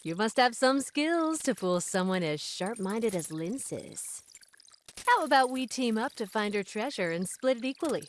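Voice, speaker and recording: A woman's voice: on the high side, very animated, unhurried. An actress playing a cunning, confident female pirate second mate in an anime game, cool and persuasive. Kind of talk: English anime game voice acting.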